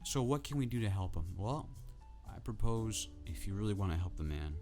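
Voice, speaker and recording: A man ranting casually to camera about football in a soft, calm, drowsy way. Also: fairly low pitch, fairly quick, faint music behind. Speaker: football vlogger.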